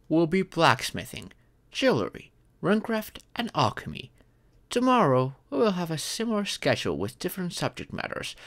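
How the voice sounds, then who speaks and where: A man voicing a gentle, proud dragon father and former king in a comfort roleplay, speaking formally and tenderly. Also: a little high, gravelly, no room sound, exaggerated voice. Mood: encouraging, instructive. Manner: formal, tender, paternal speech with deliberate pauses. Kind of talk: scripted comfort ASMR roleplay.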